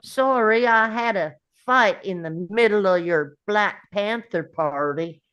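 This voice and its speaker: A woman at a table read playing a simple, earnest, gentle young man in love, speaking slowly. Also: medium pitch, smooth, muffled recording.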